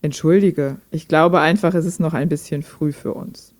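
A woman playing a gentle, guarded chatbot that talks like a human in an intimate radio play, thoughtful and understated. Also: low-pitched, steady tone, moderate pace, no room sound, natural voice. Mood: hesitant, apologetic.

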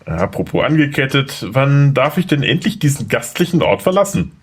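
A man's voice: medium pitch, very animated, normal speed, slightly rough. A man playing a bewildered, anxious lawyer who doubts his own mind, in a questioning, unsettled way, in an audio drama. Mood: wry. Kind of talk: German audio drama acting.

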